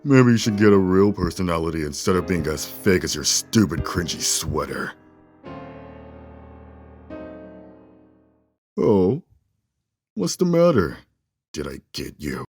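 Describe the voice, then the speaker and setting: A man's voice: low voice, sing-song, normal speed, darkish tone, soft background music. A man playing a haughty, short-tempered young swordsman in an audio drama, throwing formal, contemptuous insults. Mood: scornful.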